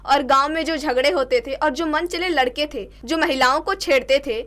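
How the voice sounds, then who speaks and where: A woman's voice: high-pitched, normal speed, fairly bright tone. A woman presenting scripted local news narration as a news presenter.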